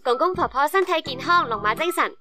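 A high-pitched young woman played by an actress as a caring, chatty student in a radio play. She has a Hong Kong Cantonese accent, with music underneath. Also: expressive, fairly quick. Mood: cheerful. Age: young adult. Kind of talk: radio drama acting.

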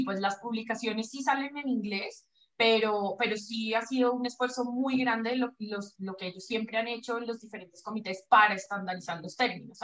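A woman's voice: mid-range voice, fairly bright tone, rough recording. A woman from Colombia being interviewed over a video call, explaining in a hesitant way with many fillers and restarts. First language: Spanish. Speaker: pelvic floor physiotherapist, guest. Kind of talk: online interview.

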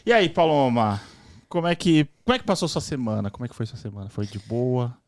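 A man's voice: mid-range voice, lively intonation, a little fast, no room sound, studio quality. A man with a São Paulo Brazilian accent co-hosting an informal podcast, chatting casually in jokey, slangy banter. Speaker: podcast co-host.

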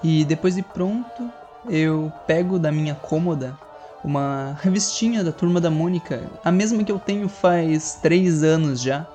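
Player narrating their kid waking up, quick and jokey, music in the back. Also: mid-range voice, warm tone. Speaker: tabletop player. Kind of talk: first-person roleplay narration.

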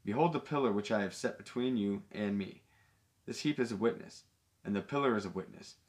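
A stubborn older father-in-law lays claim to everything around him, voiced by a man reading aloud. The voice sits fairly low and sounds insistent but plain. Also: a little fast, a little mellow, lightly acted.

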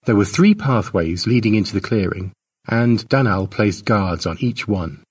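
A man narrates fiction in a low, husky voice. His delivery swings expressively as he reads the story, and he sounds set well back from the microphone. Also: lively intonation, moderate pace, darkish tone, far from the mic. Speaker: author narrating own short story.

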